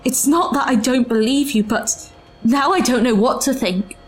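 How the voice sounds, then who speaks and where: A woman's voice: high voice, normal speed, natural voice. An actress in an audio drama playing an earnest, loyal woman from the distant past, formal, hesitant and thoughtful. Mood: troubled, doubtful. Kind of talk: full-cast audio drama acting.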